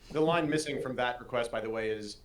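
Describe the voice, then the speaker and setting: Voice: mid-range voice, quick pace. A voice actor chatting casually on a live stream in a wry, wordy way with slightly formal turns of phrase. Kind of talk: casual chat.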